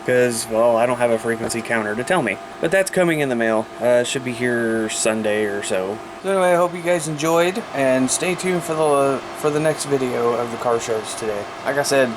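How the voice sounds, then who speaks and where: Casual American male hobbyist host, mid-pitched, colloquial and unscripted, recorded over clear steady background noise. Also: normal speed, hardly any pauses. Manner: colloquial, informal, thinking aloud. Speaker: hobbyist video host showing radios. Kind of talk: casual unscripted show-and-tell vlog.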